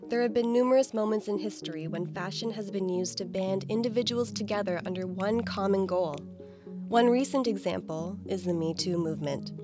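Woman reading a news feature script. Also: mid-range voice. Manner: even, read-aloud delivery. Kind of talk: scripted narration. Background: background music.

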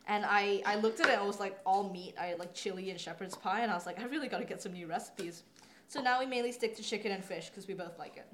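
A woman's voice: mid-range voice, fast talker, slight background noise. A woman in her twenties, from Singapore, a student journalist talking casually in an interview, filling her speech with "like" and "um". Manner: chatty, lots of "like" and "um".

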